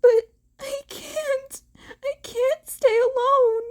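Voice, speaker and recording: A woman voicing a distraught, guilt-ridden teenage boy in an audiobook, stammering through tears in a high, breaking voice. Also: steady tone, extremely slow, full character voice. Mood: sobbing, apologetic. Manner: stammering, repeating words.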